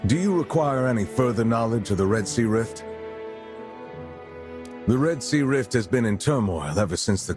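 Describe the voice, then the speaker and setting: An actor playing a grave veteran lord and military commander in a video game, speaking in a formal, measured way. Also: male voice, fairly low pitch, close to an everyday voice. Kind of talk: dramatic video game cutscene acting.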